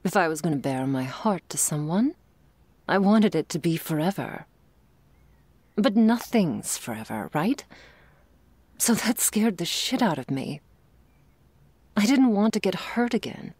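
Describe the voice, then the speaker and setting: A woman playing a confident, teasing but vulnerable girlfriend in an intimate ASMR romance roleplay, confiding tenderly and haltingly, often dropping into breathy whispers. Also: on the low side, big pitch swings, darkish tone, no echo, exaggerated voice. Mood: earnest, vulnerable.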